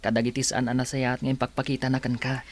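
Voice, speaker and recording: An actor with a Filipino accent playing a kind, sympathetic young male friend in a radio drama, gentle and earnest. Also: mid-range voice, level tone, fast talker, natural voice.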